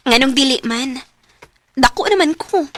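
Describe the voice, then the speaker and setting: An actress with a Visayan Filipino accent playing a headstrong, rebellious young daughter in a radio drama. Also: female voice, high voice, animated, moderate pace. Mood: casual, defiant.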